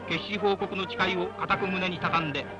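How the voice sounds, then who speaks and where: High-pitched man, vintage wartime newsreel narration. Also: steady tone, normal speed, highs cut off. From Japan. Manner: declamatory, formal, rousing. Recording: old band-limited 1940s newsreel soundtrack, music behind. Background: loud background music.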